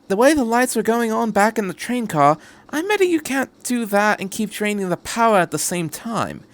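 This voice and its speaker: A man acts out a quirky adventurer who travels through time. His voice often leaps up high and moves in animated swoops. He is cheerful and endlessly curious, rambling briskly as a character in a story reading. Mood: taunting. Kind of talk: audiobook-style story reading.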